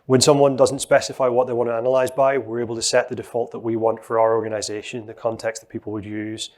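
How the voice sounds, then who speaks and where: A middle-aged man on a conference stage, explaining a live software demo in a steady, explanatory way with frequent fillers. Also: medium pitch, quick pace. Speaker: presenter giving a software demo.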